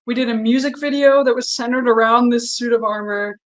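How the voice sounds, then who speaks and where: A woman's voice: a little high, fairly even intonation, brisk. A young woman singer as an interview guest, talking openly in casual chat and laughing often. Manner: open, chatty, laughs often.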